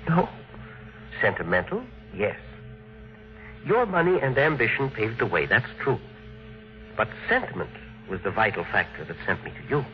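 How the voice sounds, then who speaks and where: An actor playing a puny, polite but stubborn engineer who is secretly a Martian, in 1950s radio drama style. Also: male voice, medium pitch, huge pitch swings, moderate pace, pauses often. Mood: defiant, quiet. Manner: polite, hesitant, quietly firm. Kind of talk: 1950s American radio acting. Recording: dull, noisy vintage radio recording.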